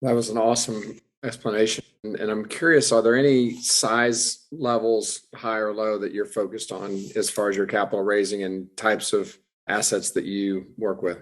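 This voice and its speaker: A man's voice: fairly low pitch, flat delivery, moderate pace. A man working as an interviewer, putting questions in a conversational style. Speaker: interviewer, host.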